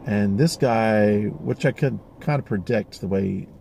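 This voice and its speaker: Man, 40s, dark mellow gravelly tone, rambling reflective vlog delivery from a van, faint noise. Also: on the low side, a little slow. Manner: rambling, thoughtful, frequent fillers and restarts. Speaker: vlogger. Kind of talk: casual reflective monologue.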